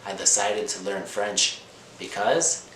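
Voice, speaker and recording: A man's voice: lowish voice, fairly even intonation, slow pace, bright, forceful tone. An American man teaching language learners, repeating simple phrases, often checking with "okay?" and sometimes switching between English and Spanish. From Indiana, United States. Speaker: language teacher, channel host. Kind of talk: slow comprehensible-input lesson for learners. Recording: echoey room with noticeable background noise.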